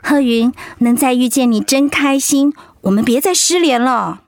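A woman acting a tender, sincere middle-aged wife and friend in a radio drama, speaking softly and at a measured pace of thought. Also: high voice, no room sound, clean studio sound. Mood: fond. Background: background music.

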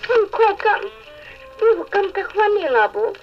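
An actress with a Taiwanese accent playing a devoted, yearning wife in plaintive, drawn-out 1960s radio drama style, on an old vinyl record. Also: female voice, very high voice, very animated, exaggerated voice. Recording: old vinyl record, dull, music behind.

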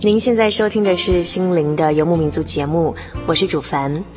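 A woman's voice: fairly low pitch, steady tone, normal speed. A woman hosting a radio program, presenting in a conversational style. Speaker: radio host. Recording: music behind.

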